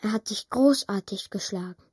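A woman playing a curious, earnest young grandchild in a radio play, with a soft husky voice. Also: medium pitch, moderate pace, dry recording, clean studio sound. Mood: earnest, admiring.

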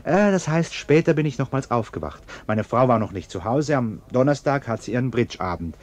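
An actor playing a defensive, sententious middle-aged bourgeois husband in a German radio play, blustering and indignant. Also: male voice, medium pitch, lively intonation, a little fast, a little mellow, close to an everyday voice. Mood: agitated.

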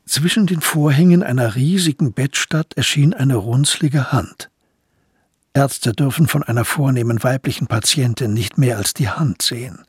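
A man's voice: medium pitch, normal speed, breathy edge, no room sound, clean studio sound. A man giving scripted narration for a historical crime radio play.

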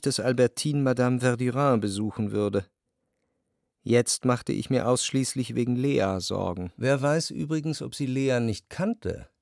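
An actor playing a jealous, brooding narrator who speaks in measured, reflective long sentences in a literary radio drama. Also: male voice, mid-range voice, darkish tone, no echo, clean studio sound. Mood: jealous, anxious. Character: jealous, brooding male narrator, introspective and anxious.

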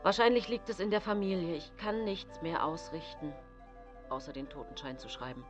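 A woman playing a grim male doctor in a radio play. The voice is low, grave and clipped, blunt about death, with clear music behind it. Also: normal speed, lo-fi sound, close to an everyday voice. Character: grim, blunt male country doctor delivering bad news. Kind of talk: radio drama dialogue.

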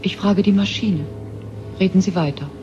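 A woman acting as an artificial alien woman, emotionless and literal, in a science fiction radio drama. Her lines are short and detached, and the old recording is dull, with music clearly behind it. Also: mid-range voice, normal speed, close to an everyday voice. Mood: detached, certain. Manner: detached, literal questioning in short flat sentences. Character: artificially created alien woman linked to a computer, emotionless, naive.

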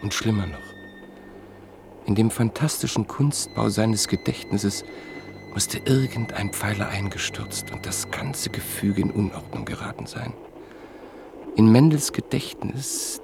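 A man narrating a radio play in a measured, solemn manner. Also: lowish voice, gravelly, darkish tone, slight background noise.

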